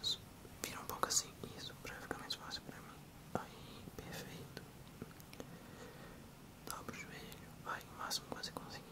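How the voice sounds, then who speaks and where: A man in his thirties with a Brazilian accent, speaking softly and unhurriedly in an ASMR role-play as a physiotherapist addressing the listener. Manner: soft, unhurried, hushed.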